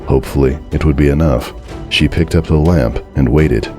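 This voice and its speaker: Very deep-voiced man narrating horror. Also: normal speed, mellow, soft background music. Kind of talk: dramatic horror story narration.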